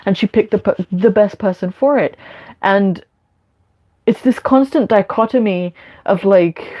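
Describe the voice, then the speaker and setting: A woman's voice: lowish voice, lo-fi sound. A young woman live streaming, talking candidly and rambling with many fillers and restarts.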